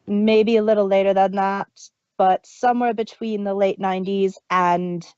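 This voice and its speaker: A woman's voice: mid-range voice, a little mellow, dry recording, lo-fi sound. A woman co-hosting a casual review chat, recounting story details at length. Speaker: podcast co-host.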